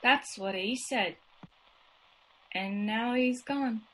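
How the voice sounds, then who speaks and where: A woman's voice: fairly high pitch, takes it slowly, a bit bright, low-quality recording. An actress playing a wildly emotional, demented young woman in a vintage radio drama. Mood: distraught.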